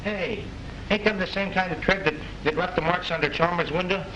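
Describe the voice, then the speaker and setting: A man's voice: high voice, a little fast, dull audio, close to an everyday voice. An actor playing a folksy, eager male sidekick in a 1930s film. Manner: colloquial, eager. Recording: old film soundtrack, heard from afar with hiss.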